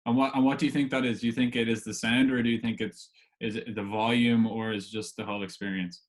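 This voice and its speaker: Male interviewer, average-pitched voice, relaxed informal chat, slightly tentative delivery. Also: fast. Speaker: podcast host, interviewer. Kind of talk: casual, informal questioning.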